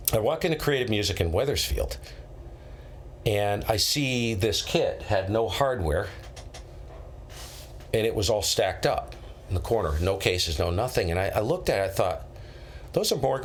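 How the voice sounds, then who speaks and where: Low-pitched middle-aged American man chatting casually. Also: a little hiss or hum. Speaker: live stream host. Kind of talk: casual chat, rambling anecdotes.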